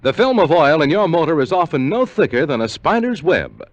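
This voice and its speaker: A man's voice: fairly high pitch, sing-song, fairly quick, no room sound. An American man working as a 1950s radio announcer, reading scripted promotion and credits. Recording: muffled vintage 1950 radio recording.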